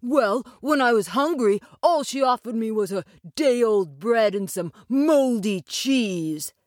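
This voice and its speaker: A cheeky, dramatic young lad from a storybook, played by a woman. The voice sits fairly high, pleading and complaining in a theatrical way. The sound is dry and close. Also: animated, takes it slowly, dry recording.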